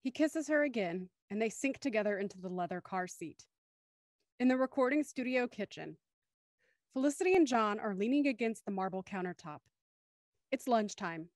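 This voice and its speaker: A woman's voice: mid-range voice, animated, normal speed, no room sound, studio quality. A young woman reading scripted narration for an audio drama.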